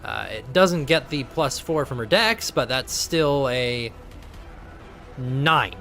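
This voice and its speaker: A man's voice: medium pitch, expressive, slow, fairly bright tone, faint music behind. A man acting as game master in a live tabletop game, narrating turns in a chatty way and thinking rules through aloud. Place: home streaming setup.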